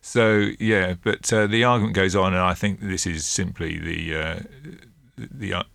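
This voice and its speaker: A man co-hosting a political podcast, explaining in a measured, hesitant way with many fillers and sounding a little under the weather. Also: low voice, steady tone, vocal fry, fairly bright tone, no echo. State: a bit ill. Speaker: co-host and political editor. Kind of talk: casual political podcast chat.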